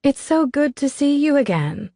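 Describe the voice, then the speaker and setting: A woman putting on a perky, chatty young woman, higher and brighter than the narration, storybook read. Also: big pitch swings, normal speed, gravelly, no echo, clean studio sound, heavily acted. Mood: bubbly. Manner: bubbly, eager, chatty.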